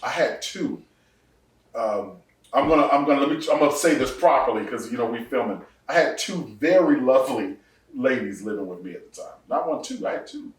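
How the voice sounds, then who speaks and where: A man in his forties, a pastor, telling his testimony in a casual podcast interview, laughing often and jumping up in pitch for punchlines. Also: a little fast, distant mic. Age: 40s. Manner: animated storyteller, laughs often, rises sharply for punchlines. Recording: echoey room, voice off-mic.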